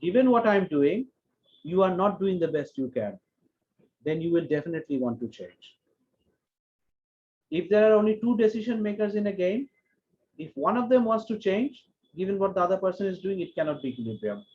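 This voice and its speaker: A man teaches economics over a video call, repeating each key phrase slowly and carefully. His voice sits high for a man. The call audio sounds dull and lo-fi. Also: very animated. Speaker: economics lecturer. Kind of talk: online university lecture.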